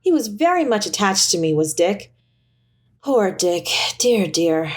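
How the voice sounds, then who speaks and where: A woman voicing an excitable, wistful old man in a storybook, exclaiming with emotion. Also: medium pitch, a little slow. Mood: excited, fond. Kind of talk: storybook character voice.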